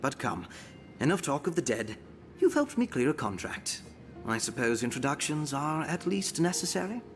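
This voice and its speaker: An actor playing a sardonic, smug assassin in a fantasy video game, with florid, theatrical, mocking phrasing. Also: male voice, fairly high pitch, sing-song, normal speed, a little hiss or hum. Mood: boastful, biting. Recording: loud music behind the voice.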